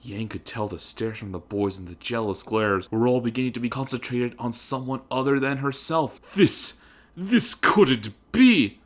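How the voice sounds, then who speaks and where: A man's voice: medium pitch, normal speed. A man narrating a comedic dramatic reading in a theatrical, emphatic, mock-serious manner.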